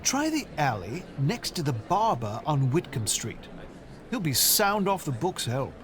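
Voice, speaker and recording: A man's voice: on the high side, very animated, measured pace. An actor playing an eager, polite young Englishman who talks in a rambling, enthusiastic way. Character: eager, polite young man, opera fan.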